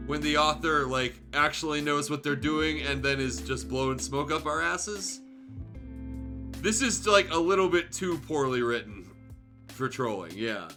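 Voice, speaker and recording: Bright-voiced man streamer, sardonic and sweary. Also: on the high side. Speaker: streamer reading fan fiction aloud. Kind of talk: livestream read-aloud with joking off-the-cuff commentary.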